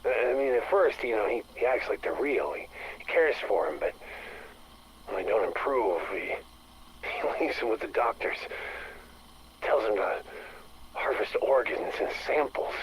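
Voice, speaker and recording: An actor playing a distressed maintenance director confessing into a game audio log, halting, stammering and bitter. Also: male voice, on the low side, natural voice. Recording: faintly noisy recorded audio log.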